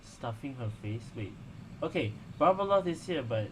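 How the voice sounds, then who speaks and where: A man casually commenting as a gamer on a story playthrough, halting and thinking aloud. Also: mid-range voice, animated, noisy background. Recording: loud game music behind voice. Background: game music and dialogue.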